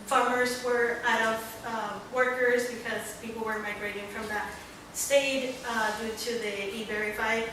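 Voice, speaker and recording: A young Mexican woman, a student panelist, speaking earnestly at a book launch and often pausing to find her words. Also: a little high, fairly even intonation. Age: young adult. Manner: earnest, searching for words with many pauses. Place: large echoey library hall. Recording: echoey hall, distant, room noise.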